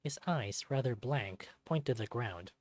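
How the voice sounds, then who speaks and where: Casting: male visual novel narrator, mid-pitched, expressive storytelling read, dry recording. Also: unhurried, no room sound. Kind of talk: expressive scripted first-person narration.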